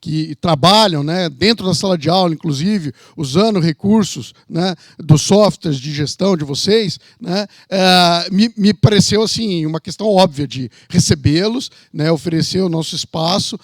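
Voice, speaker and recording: High-pitched São Paulo man presenting. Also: normal speed, few pauses, no echo, clean studio sound. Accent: São Paulo state Brazilian. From São Paulo, Brazil. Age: 50s. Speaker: economist and university representative. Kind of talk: informal welcome presentation.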